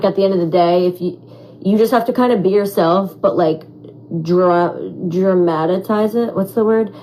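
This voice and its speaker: A raspy young woman answering fan questions in a casual, animated chat, full of "like" and "um". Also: fairly low pitch, a little hiss or hum, poor audio. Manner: animated, chatty, heavy on fillers like "like" and "um". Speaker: former reality show contestant.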